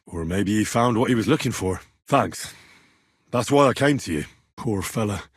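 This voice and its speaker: A man's voice: fairly low pitch, lively intonation, moderate pace, breathy edge, no echo, studio quality. A man playing an earnest, blunt, streetwise young adventurer in a medieval video game, speaking in plain, colloquial British phrasing. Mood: matter-of-fact. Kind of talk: video game dialogue voice acting.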